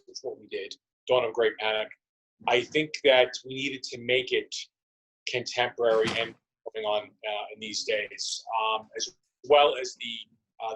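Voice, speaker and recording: Male interview guest, medium pitch, casual, conversational answers. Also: a bit bright, low-quality recording. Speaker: interview guest, theatre company member and writer.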